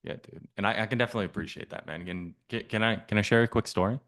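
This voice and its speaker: Low-voiced man probing on a sales call. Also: racing, a bit bright. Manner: probing, persistent questioning, casual swearing. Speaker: sales coach.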